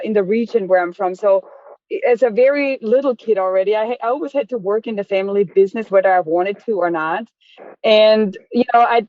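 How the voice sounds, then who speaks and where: A woman's voice: medium pitch, no room sound. A woman, telling her story as an interview guest in a chatty way, full of restarts. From Austria. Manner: chatty, many restarts and fillers. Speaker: guest, chocolate business owner. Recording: dry tinny phone-like line.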